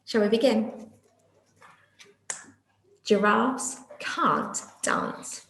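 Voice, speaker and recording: A woman's voice: fairly high pitch, vocal fry, a bit bright, a little hiss or hum. A woman reading a children's storybook expressively, as a teacher speaking directly to young pupils with dramatic delivery. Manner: addresses young listeners directly with questions, drawn-out dramatic delivery. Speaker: primary school teacher reading stories to pupils. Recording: echoey room.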